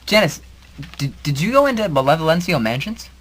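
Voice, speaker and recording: A man acts a timid, apologetic employer in a comic radio play. He talks quickly, tripping and stammering over his words in a mild, trusting manner. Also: fairly high pitch, very animated, fairly bright tone, a little hiss or hum. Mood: suspicious, then reassured. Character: hesitant, mild, gullible male boss on the telephone. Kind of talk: comic superhero audio drama.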